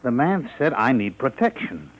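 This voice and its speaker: A male actor plays a humble shopkeeper, a tailor, in an old radio drama with a muffled, lo-fi sound. His medium-pitched voice is hesitant and pleading. He stumbles over his words with a nervous, deferential air. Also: big pitch swings, moderate pace, close to an everyday voice. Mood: worried, pleading. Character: anxious, humble neighborhood tailor, a small-shop man. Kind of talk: 1950s radio drama acting. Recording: dull old radio recording.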